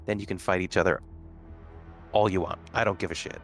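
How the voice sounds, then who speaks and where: A man's voice: deep voice, steady tone, a little fast, a bit bright, faint music behind. A man playing in a tabletop role-playing game, asking the game master laid-back, hesitant questions. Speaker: player. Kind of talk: casual chat at a game table.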